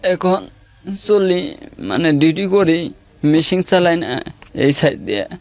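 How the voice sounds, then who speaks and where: A man's voice: fairly high pitch, big pitch swings, slow pace, no room sound. Hesitant young man, dry close sound. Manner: plain, hesitant, simple colloquial speech. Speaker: guest telling his life story. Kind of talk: personal testimony in an interview.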